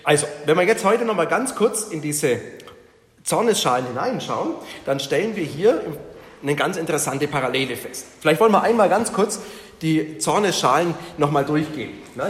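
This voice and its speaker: A man leading a Bible study, teaching a group in an informal, interactive way. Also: fairly high pitch. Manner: informal, questions the group and repeats their answers. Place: reverberant room with a group present. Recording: echoey hall with background noise.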